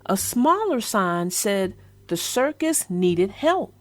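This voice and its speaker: A woman children's book narrator speaking expressively and deliberately, as if to young children. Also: medium pitch, big pitch swings, soft background music. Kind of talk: storybook read-aloud and casual chat.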